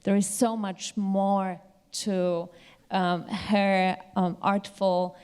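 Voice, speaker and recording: A woman's voice: medium pitch, little pitch movement, extremely slow, a little mellow, clear, resonant tone. A woman who teaches at university, speaking off the cuff in an academic discussion and searching carefully for her words. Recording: reverberant hall sound.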